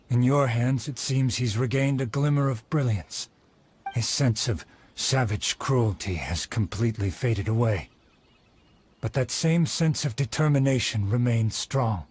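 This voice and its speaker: A man voicing a dignified heir of a sword art in a video game, speaking formally and in measured tones. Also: medium pitch, a little mellow, a little hiss or hum, close to an everyday voice.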